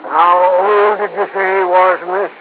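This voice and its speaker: A brisk, offhand police doctor in an old-time radio play, with a notably high male voice. He is blunt and businesslike on a tinny recording. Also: little pitch movement, creaky, over music. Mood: brisk, indifferent. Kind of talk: old-time American radio drama acting.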